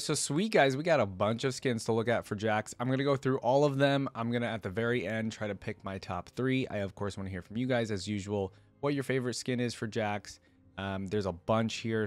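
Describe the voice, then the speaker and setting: Low-voiced man giving casual reaction commentary. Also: dry recording. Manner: conversational, addresses viewers, thinks aloud. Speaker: reaction video host. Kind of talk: casual commentary, reacting to game music and artwork.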